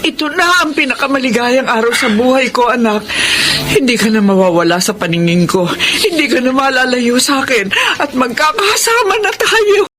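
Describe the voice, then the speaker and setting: A high-voiced, doting mother speaks with tearful emotion to her son, voiced by a man. Hiss runs behind her in this radio drama. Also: expressive, normal speed, sharp tone, heavily acted. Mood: tender. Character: overjoyed mother welcoming her son home. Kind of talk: classic radio drama acting. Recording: radio drama with background noise.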